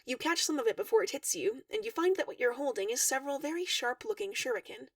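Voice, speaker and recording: A woman reading a fan-written story aloud in an expressive narrating style. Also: sits high, fast talker, dry recording, studio quality, far from the mic. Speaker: fan fiction reader.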